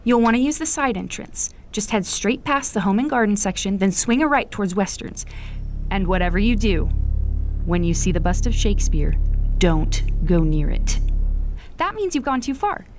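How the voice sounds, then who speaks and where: A woman's voice: mid-range voice, expressive, normal speed, soft background music, lightly acted. A woman acting a fussy, chatty library clerk in a horror audio drama, anxious about her boss. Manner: chatty, breathless whispering.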